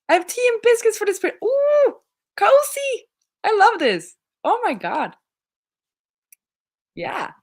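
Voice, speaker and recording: A young Swedish woman, a native Swedish speaker, hosting a casual livestream, chatting in a rambling way with frequent laughs and restarts. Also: medium pitch. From Sweden. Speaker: livestream host reading viewer chat.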